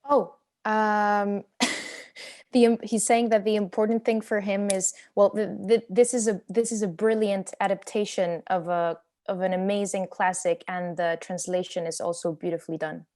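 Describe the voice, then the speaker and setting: A woman with a middle-pitched voice speaks without a script at a post-show Q&A. She relays other people's remarks as an interpreter, halting and feeling for her words. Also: fairly even intonation, a little mellow. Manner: hesitant, halting while translating. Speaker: actress and interpreter.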